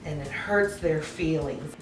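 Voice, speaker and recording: A woman's voice: low voice, measured pace, reverberant, far from the mic, over music, low-quality recording. A woman of almost forty, a mother of two, speaking frankly in casual chat. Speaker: panel guest, mother of two.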